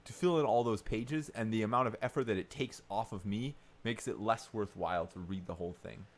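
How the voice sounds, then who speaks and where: A man with a slightly low voice talks casually as a podcast co-host. He comes across as opinionated, speaking in a questioning, matter-of-fact conversational way. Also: brisk. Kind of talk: casual chat.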